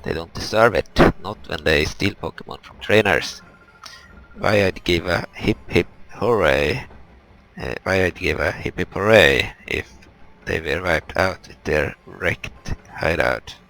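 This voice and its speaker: A man's voice: deep voice, measured pace, creaky, a bit bright, exaggerated voice, rough recording. A man voicing an eager, emphatic male creature trainer from a video game, reading the dialogue aloud. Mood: indignant. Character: eager male Pokémon trainer, admiring, indignant about thieves.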